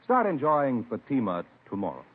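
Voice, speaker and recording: A husky American male announcer sells with emphatic, swooping delivery in the style of a vintage radio commercial. The sound is narrow and tinny. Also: mid-range voice, wildly swooping pitch, normal speed, soft background music. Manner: emphatic salesman. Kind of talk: 1940s-50s radio advertisement.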